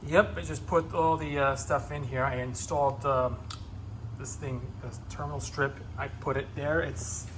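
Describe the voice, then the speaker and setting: Halting man thinking aloud over noise. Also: mid-range voice, steady tone, a bit bright, low-quality recording. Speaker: hobbyist repairer presenting own video. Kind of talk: casual unscripted how-to narration while working.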